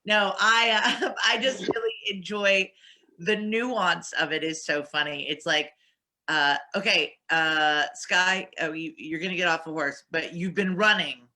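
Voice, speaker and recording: Female actor in casual panel chat. Extremely bright, sharp tone, demonstrative storytelling. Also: fairly low pitch, steady tone, a little slow.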